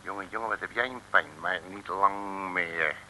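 Brash, bright-voiced comic radio detective. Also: male voice, low-pitched, moderate pace, full character voice, low-quality recording. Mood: cocky, coaxing. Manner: chummy, boastful, colloquial patter. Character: brash, bluffing, jovial male detective in a comic serial. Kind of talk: 1950s-60s comic radio serial acting. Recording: hissy vintage radio recording.

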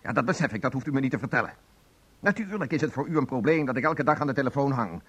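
A man's voice: mid-range voice, animated, fast, a bit bright, breathy edge. An actor playing an aggrieved, bookish man in a divorce, insistent and agitated, in a Dutch radio play. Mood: indignant, urgent.

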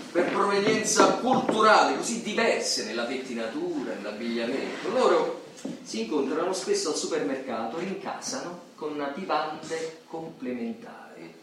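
A man playing an educated professor who narrates in a radio drama, deliberate and literate, with halting phrasing. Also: high-pitched, far from the mic. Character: educated male professor, narrator among Roman suburban folk. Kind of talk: stage-style radio drama monologue and dialogue. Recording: echoey hall from afar, hissy.

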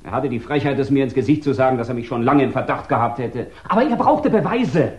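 A man's voice: medium pitch, animated, fast talker, a little mellow, close to an everyday voice. A man acting a defiant, haughty murder suspect in a 1960s German radio crime drama, answering in curt, protesting retorts. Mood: angry, confessing.

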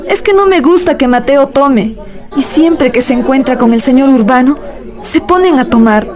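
Actress as a very high-voiced, meek daughter-in-law. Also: female voice, normal speed, a crowd in the background, natural voice. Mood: meek, fearful. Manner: submissive, apologetic. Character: meek, frightened young battered daughter-in-law. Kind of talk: radio drama acting.

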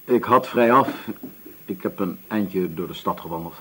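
An actor playing a sullen, defensive nineteen-year-old male army corporal in a radio drama, curt and evasive, with a raspy voice. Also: low voice, moderate pace, a bit bright, low-quality recording. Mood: evasive, tense. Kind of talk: Dutch radio drama acting.

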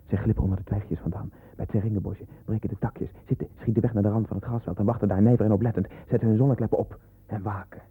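An actor playing a brooding, watchful man, speaking a radio play monologue in clipped, incantatory phrases with a husky voice. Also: fairly low pitch, steady tone, fast. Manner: clipped, incantatory listing of observations. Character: brooding man observing another in obsessive detail. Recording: tinny, narrow 1960s radio recording.

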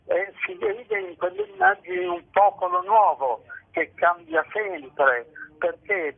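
An older man answering reflectively in a radio interview, halting and repeating phrases for emphasis. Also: high voice, vocal fry, breathy edge. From Italy. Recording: tinny band-limited phone line.

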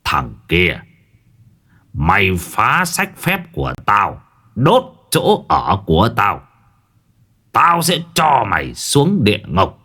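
A man voicing a hateful old sorcerer who threatens in a low, slow voice. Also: huge pitch swings, bright, forceful tone, breathy edge, full character voice. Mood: threatening.

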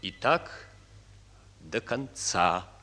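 A man narrating a radio drama in a solemn, deliberate, theatrical style. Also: low-pitched, expressive, bright, forceful tone, slight background noise. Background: music.

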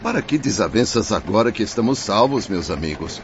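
An actor playing a weary traveler who tries to calm quarrels among his companions. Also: fairly low pitch, brisk, close to an everyday voice. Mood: conciliatory. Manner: conciliatory, then pleading. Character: weary peacemaking Portuguese traveler. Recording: dull lo-fi audio with faint noise.

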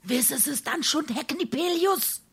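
Excitable gossip, very lively high voice, Hessian dialect, eager, chatty, stage comedy. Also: female voice, normal speed. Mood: excited gossip. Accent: Hessian (Darmstadt). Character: gossipy, excitable small-town woman.

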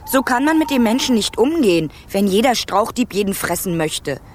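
An actress playing a plucky, stubborn teenage princess in a radio play, pert and prim in manner. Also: female voice, mid-range voice, sing-song, normal speed, slight background noise, close to an everyday voice. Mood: indignant, prim. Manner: pert, dignified, matter-of-fact. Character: plucky, prim, stubborn fifteen-year-old fairy-tale princess. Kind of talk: German radio play acting.

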